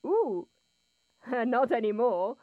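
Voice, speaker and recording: High, swoopy, dreamy scatterbrained woman. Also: sing-song, takes it slowly, no echo, phone-quality sound. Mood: playful, oblivious. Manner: dreamy, easily distracted chatter. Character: groggy, scatterbrained, playful woman waking in a capsule. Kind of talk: audio drama acting.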